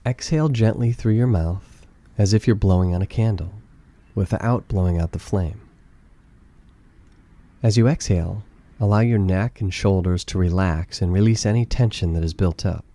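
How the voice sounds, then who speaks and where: A man narrating a guided meditation with calm, gentle, soothing instructions. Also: sits low, normal speed.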